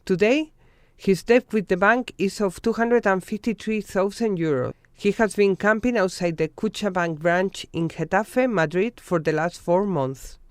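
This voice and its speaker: Documentary narrator, measured formal reading, resonant voice, dry studio sound. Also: medium pitch, clean tone, no echo. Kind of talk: scripted news narration.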